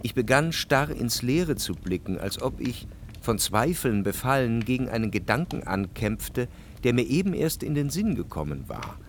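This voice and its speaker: An actor playing a brooding, introspective narrator, reading in measured, reflective for a literary radio drama. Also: male voice, mid-range voice, very animated, slight background noise. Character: brooding, introspective male narrator recalling a troubled love. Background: music.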